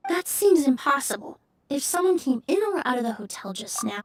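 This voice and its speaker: A woman voicing a composed, courteous young perfumer in a video game, speaking in a measured, precise way. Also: high voice, sing-song, noisy background. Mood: concerned. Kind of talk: scripted video game voice acting. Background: background music.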